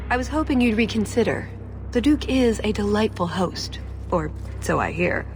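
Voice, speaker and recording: A woman's voice: mid-range voice, expressive, normal speed, faint music behind, natural voice. A woman acts as a young elf thief in a fantasy game. She speaks in a mid-range voice, sly, teasing and confident. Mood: persuasive. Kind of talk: video game character dialogue.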